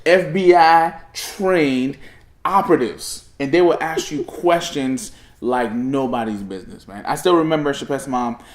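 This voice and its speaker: A man's voice: mid-range voice, unhurried. A young man from Haiti co-hosting a casual chat show with his wife, teasing and exaggerating for laughs. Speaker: podcast co-host. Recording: echoey room.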